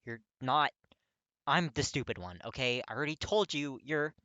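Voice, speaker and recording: A man voicing a gruff, hot-tempered young man awkwardly confessing his feelings in an audiobook, blunt, halting and strained. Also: mid-range voice, animated, pushed and bright, dry recording, lo-fi sound, voice pushed up. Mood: snappish. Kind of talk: dramatic audiobook character reading.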